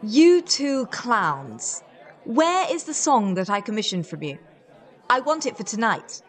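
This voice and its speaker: A woman's voice: fairly high pitch, big pitch swings, measured pace, some chatter behind. A woman playing a haughty, sardonic, impatient queen with a dry, imperious manner in a historical comedy audio drama.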